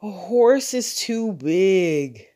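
A woman's voice: fairly low pitch, big pitch swings, slow pace, a little mellow, pitched above the performer's own voice, full character voice. A woman voicing a sleepy, befuddled father in a children's storybook, questioning and objecting. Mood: disapproving.

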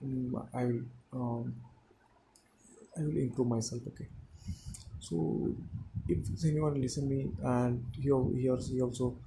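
A young Indian man with Hindi as his first language talks to his listeners in slow, halting English, pausing often on "uh". His voice is gravelly and stays mostly on one note. There is clear noise behind him in the recording. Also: medium pitch, steady tone. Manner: halting, many "uh" fillers, non-fluent English. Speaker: audio diary speaker. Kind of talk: personal monologue to listeners.

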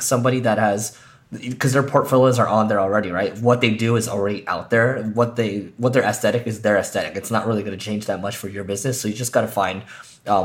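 A man co-hosting a business podcast, hoarse and raspy after losing his voice, talking loosely with lots of ums and uhs. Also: lowish voice. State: hoarse from a lost voice. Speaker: podcast co-host. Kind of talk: casual business podcast chat.

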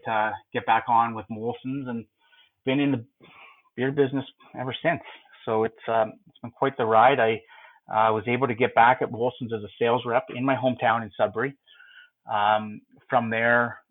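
Canadian man on a tinny line. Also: on the low side. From Northern Ontario, Canada. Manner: rambling, with many fillers and restarts. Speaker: guest, former brewery executive. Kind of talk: casual interview storytelling.

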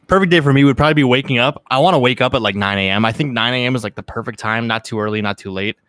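A man's voice: medium pitch, fast, fairly bright tone, no echo. A young man co-hosting a podcast, laid-back, swearing freely and laughing often. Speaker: podcast co-host. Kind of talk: casual podcast chat.

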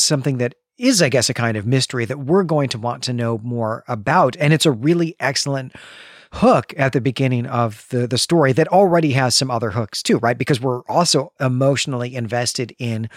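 A man co-hosting a podcast, explaining and recapping fiction conversationally in measured phrases on a close microphone. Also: mid-range voice, no room sound. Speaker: podcast co-host. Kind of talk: literary discussion and recap, conversational.

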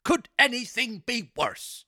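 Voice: medium pitch, sing-song, a little slow, no room sound, studio quality, full character voice. A performer voicing a sly, wheedling con-artist fox in a storybook, theatrical and persuasive with false sympathy. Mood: mock sympathy. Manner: theatrical, persuasive, mock-gentlemanly. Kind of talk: storybook character reading.

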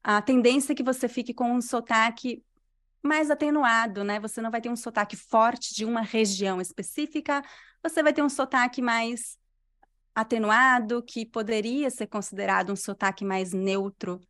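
A Brazilian woman with a mixed Brazilian Portuguese accent, a language teacher answering interview questions in clear, unhurried speech. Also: on the high side, steady tone, fairly bright tone. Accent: Brazilian Portuguese, mixed regional. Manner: clear, unhurried diction, completes every phrase.

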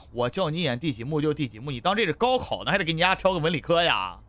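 A man's voice: fairly high pitch, very animated, a little fast, a bit bright. A man playing a brash, bossy, joking film director in an audio drama, with blunt colloquial talk. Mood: bossy, sarcastic. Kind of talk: Chinese audio drama acting.

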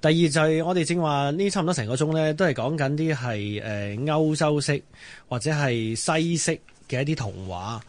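A man's voice: on the high side, normal speed, no echo. A man with a Hong Kong Cantonese accent hosting and moderating a casual radio talk show.

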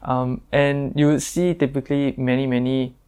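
A young man with a Singaporean English accent and a naturally deep voice, chatting casually as a guest, pausing often with fillers and restarts. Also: level tone, darkish tone, clear, resonant tone. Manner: hesitant, many fillers and restarts. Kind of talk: casual chat.

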